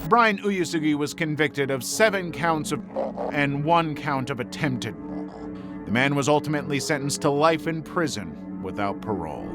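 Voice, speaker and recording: A man reading scripted true crime narration in a measured, dramatic way with deliberate pauses. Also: fairly high pitch. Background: background music.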